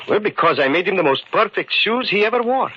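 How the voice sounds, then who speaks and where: An actor playing a bewildered, earnest immigrant shoemaker in a radio drama, speaking formally and carefully. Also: male voice, high voice, animated, brisk. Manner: formal, careful speech without contractions. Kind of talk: old-time radio drama acting. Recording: muffled, noisy vintage radio recording.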